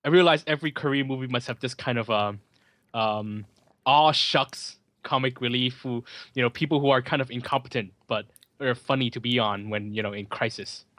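A man in a dry, close recording talks casually over a movie. His delivery is joking and sardonic, halting now and then as he repeats words. His pitch sits in an ordinary male range. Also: a bit bright, dry recording. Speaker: film commentary podcast host. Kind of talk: casual chat, running movie commentary.

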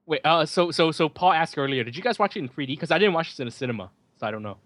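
A man giving casual, joking running commentary on a film as a host, with sardonic asides and halting, repeated words. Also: fairly high pitch, expressive, fairly bright tone, no echo.

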